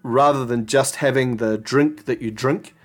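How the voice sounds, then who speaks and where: A man from New Zealand speaking in a steady, reflective manner at a medium pitch. Faint music runs underneath his voice. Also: a little slow. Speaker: former barista champion. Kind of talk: recorded interview clip.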